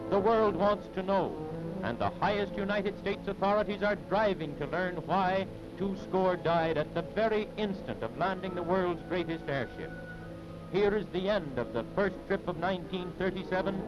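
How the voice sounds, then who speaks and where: Male 1930s newsreel voice, high and declamatory, solemn drama, dull vintage film sound over music. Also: normal speed. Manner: solemn, theatrical, declamatory delivery. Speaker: newsreel narrator.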